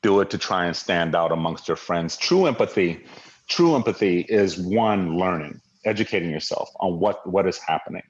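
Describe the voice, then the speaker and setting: A man's voice: low voice, lively intonation, normal speed. A man from Hawaii, a guest in an interview, talking in an earnest, reflective way with many fillers and restarts. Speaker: guest, diversity leader. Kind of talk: conversational interview. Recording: dull lo-fi audio.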